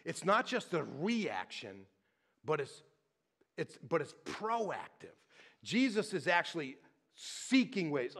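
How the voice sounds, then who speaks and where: A middle-aged male pastor giving a sermon in a church, his voice slightly higher than most men's. He talks in a chatty, animated way, often breaking off and restarting and checking in with the congregation. He moves around the stage near a stand mic. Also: slow. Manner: conversational, animated, frequent restarts and "right?".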